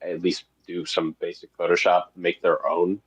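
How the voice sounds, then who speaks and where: A man's voice: low-pitched, little pitch movement, normal speed, husky. A man being interviewed as the creator of a web series, chatting casually and enthusiastically with some self-deprecation over a muffled connection. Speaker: guest, creator of an analog horror web series.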